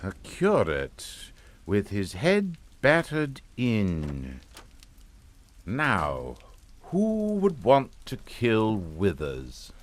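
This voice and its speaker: A man's voice: fairly low pitch, huge pitch swings, drawn-out words, exaggerated voice. An actor playing a stern, calculating English father in a radio suspense drama, with a clipped, commanding manner.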